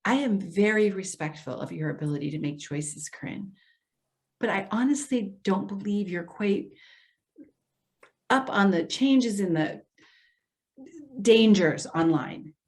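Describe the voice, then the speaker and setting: A woman's voice: medium pitch, lively intonation, measured pace, pauses often. A woman acting an earnest, protective social worker in readers theater, speaking carefully and insistently. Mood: cautioning. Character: earnest, protective female elder-care social worker, cautious.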